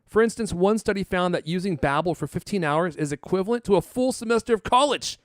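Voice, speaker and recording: A man's voice: high voice, animated, fast talker, dry recording, clean studio sound. A man hosting a podcast, chatting casually and reading ads in a jokey, teasing way with theatrical emphasis. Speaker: podcast host. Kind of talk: casual chat and ad reads.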